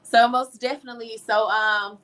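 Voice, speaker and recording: A woman's voice: fairly high pitch, fairly even intonation, normal speed, a bit bright. A young American woman talking casually and emphatically as an interview guest, often adding "you know". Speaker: interview guest, teacher and singer-songwriter. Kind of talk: casual conversational interview answers.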